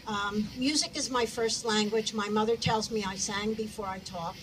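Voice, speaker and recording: A woman speaking at a memorial about a coworker, telling stories in a measured way. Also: medium pitch, steady tone. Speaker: deli worker speaking at a memorial. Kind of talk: memorial remarks. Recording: distant mic, noisy room.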